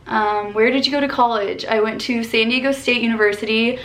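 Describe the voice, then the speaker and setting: A woman's voice: fairly high pitch, fairly even intonation, brisk, fairly bright tone. A woman in her twenties from Colorado, chatting casually and upbeat through a Q&A vlog, filled with "like" and "um", recorded in her bedroom on a camera at desk distance. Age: 20s. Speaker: vlogger.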